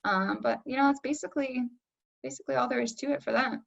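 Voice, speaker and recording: Woman moderator, slightly creaky, dull audio. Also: sits high, fast talker. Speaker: panel moderator. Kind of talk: casual panel hosting.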